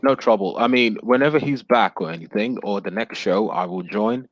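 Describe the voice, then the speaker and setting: A man's voice: fairly low pitch, normal speed, a loud crowd. A man in his thirties joining a casual panel discussion over a video call, earnest and formal, often calling others sir. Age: 30s. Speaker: panel guest.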